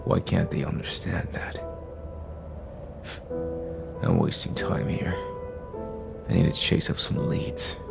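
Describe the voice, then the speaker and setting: A man playing a grim, stubborn, ailing older masked vigilante, terse and gravelly, in an audio drama. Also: steady tone, slow, pauses often, over loud music, exaggerated voice. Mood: brooding. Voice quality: deep gravelly growl. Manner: terse, brooding, defiant.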